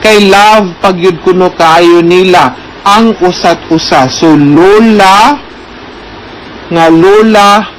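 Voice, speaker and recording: High-voiced Filipino man explaining and advising. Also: slow, pauses often, a little hiss or hum, low-quality recording. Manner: explanatory, with frequent check-in tags. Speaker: advice programme host. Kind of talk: conversational explanation and advice, code-switching into English.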